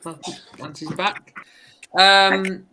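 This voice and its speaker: Bright-voiced stumbling male host. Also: high-pitched, steady tone, drawn-out words, a little hiss or hum, rough recording. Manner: hesitant, stumbling, self-deprecating. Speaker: podcast host. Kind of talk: casual podcast hosting.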